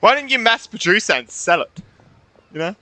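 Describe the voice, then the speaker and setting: A man's voice: high-pitched, animated, normal speed, sharp tone. A man giving casual, offhand live commentary while playing a game, informal and slangy. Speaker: gaming YouTuber and streamer.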